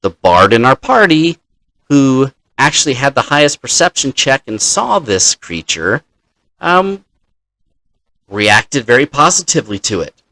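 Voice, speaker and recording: A man in the role of dungeon master, casually telling a story from his game session in a halting, deliberate manner. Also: mid-range voice, big pitch swings, bright, forceful tone, dry recording, low-quality recording.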